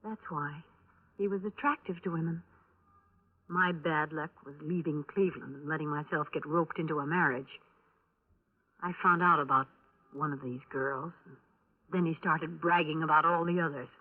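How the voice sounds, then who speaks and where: A bitter American widow in her early thirties from a vintage radio crime drama. She speaks bluntly and with control, her pitch a little low for a woman. The recording is tinny and narrow, like a 1950s broadcast. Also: steady tone, moderate pace.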